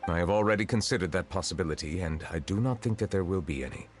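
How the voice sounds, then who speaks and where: An actor playing a grave, dignified chief justice in a video game, speaking in a formal, measured, reserved way. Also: male voice, low voice, over loud music, close to an everyday voice.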